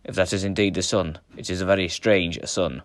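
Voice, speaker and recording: A man voicing a wary, observant Viking warrior in a storybook, deliberate and portentous. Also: low-pitched, steady tone, no echo, voice pushed down, lightly acted. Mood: ominous.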